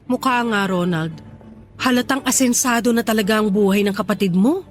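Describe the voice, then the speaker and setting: An actress with a Filipino accent playing a cautious, doubtful wife with a questioning, hesitant manner in radio drama dialogue. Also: female voice, medium pitch, a little fast, natural voice. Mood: doubtful, uneasy. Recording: faint music under dialogue. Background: faint music.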